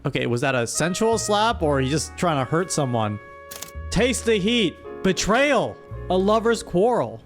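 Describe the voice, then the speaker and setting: A woman's voice: lowish voice, sing-song, measured pace. A woman hosting a casual livestream, playful and mock-dramatic as she reads out and comments on a story. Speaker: streamer, host. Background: music.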